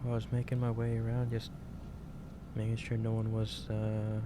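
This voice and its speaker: A man playing a gentle, concerned office boss in a soft-spoken ASMR roleplay, talking in a hesitant, halting and careful way. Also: on the low side, monotone, natural voice. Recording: clearly noisy ambient background.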